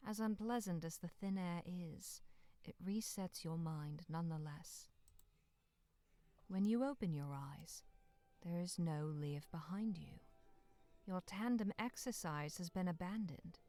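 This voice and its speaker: A woman narrating a visual novel as a solemn, poetic storyteller, calm and measured. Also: on the low side, no room sound.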